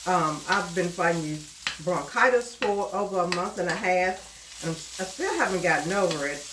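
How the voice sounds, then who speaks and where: A woman's voice: on the low side, moderate pace, background noise. A middle-aged woman, chatting homily to viewers in a cooking vlog, her voice stuffed up and nasal from bronchitis. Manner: chatty, homey, talks to viewers as y'all. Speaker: cooking channel host. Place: home kitchen at the stove. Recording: roomy kitchen with loud sizzling.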